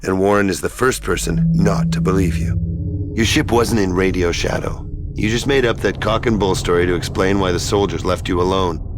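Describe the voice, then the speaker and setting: An actor playing a gruff, bitter, wisecracking male space prospector in a video game cutscene. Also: low voice, normal speed, noisy background, lightly acted. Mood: accusing. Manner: sardonic, wisecracking, drawling retorts. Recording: music behind the voice.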